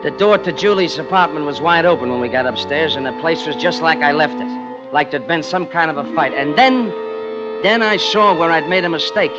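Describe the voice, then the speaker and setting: A man's voice: a little high, fairly quick, lightly acted. Guy acting a hardboiled old radio crime narrator, shady fake pal, offhand slang, muffled with music under it. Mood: cool, confiding. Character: scheming, coolly deceitful killer narrating, pretending to be loyal pal.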